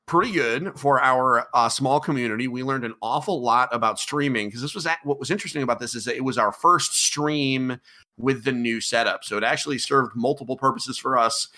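Man, middle-aged, casual chat, bright tone, lots of ums, rambling. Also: medium pitch, fairly quick. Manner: rambling, many ums, self-deprecating.

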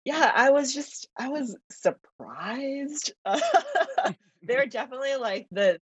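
A woman whose first language is Mandarin, chatting as a podcast guest, talkative and quick to laugh, with lots of fillers. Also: sits high, big pitch swings, slightly rough, no echo. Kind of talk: casual interview chat. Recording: dull lo-fi dry recording.

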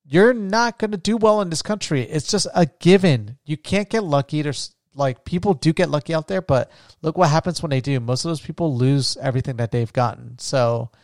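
A man's voice: a little high, moderate pace, darkish tone, no echo, studio quality. Man talking casually on a solo podcast. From United States. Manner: rambling, thinking aloud with many restarts. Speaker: podcast host.

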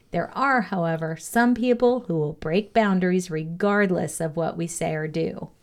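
Low-voiced woman reading a self-improvement lesson. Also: darkish tone. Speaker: reader of a leadership values lesson. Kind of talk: scripted instructional narration, read aloud.

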